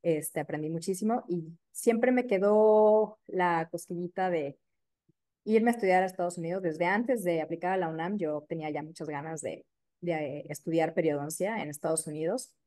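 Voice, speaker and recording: A woman in her thirties from Mexico City with a Mexico City accent, a periodontist guest chatting casually and filling pauses with "este" and "eh". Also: on the low side. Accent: Mexico City Spanish. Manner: warm, chatty, many fillers like "este" and "eh". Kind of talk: casual interview chat.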